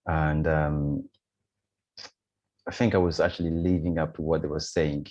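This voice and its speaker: A man's voice: rumbling low, level tone, unhurried, darkish tone, muffled recording. A man born in Uganda, a podcast guest telling a personal story in a reflective, hesitant way with many fillers. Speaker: podcast guest, running coach. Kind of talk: conversational storytelling.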